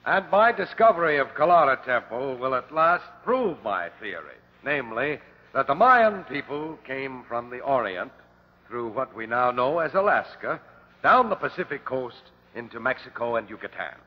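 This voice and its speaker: An actor playing a pompous, impatient archaeology professor, curt and dismissive, in an old-time radio drama. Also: male voice, medium pitch, sing-song, moderate pace. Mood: pompous, oratorical. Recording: muffled, noisy old radio recording.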